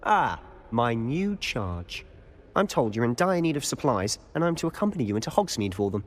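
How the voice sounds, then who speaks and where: Game role, male actor: jaunty teen wizard, animated delivery, playfully formal, long hall reverb. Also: mid-range voice, moderate pace, close to an everyday voice. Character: jaunty, courteous teenage wizard schoolboy. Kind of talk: video game acting.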